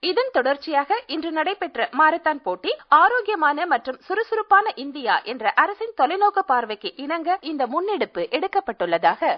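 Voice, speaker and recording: A female reporter with an Indian Tamil accent reads a formal scripted report. Her pitch is high and moves in wide, animated swings. The sound is slightly dull. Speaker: news reporter.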